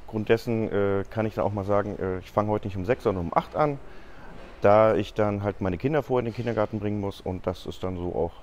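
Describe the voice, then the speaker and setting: Man, 40s, low voice, matter-of-fact, warehouse, lapel mic, faint background noise. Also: fast talker, a little mellow, muffled recording. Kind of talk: corporate video interview. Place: large warehouse with shelving.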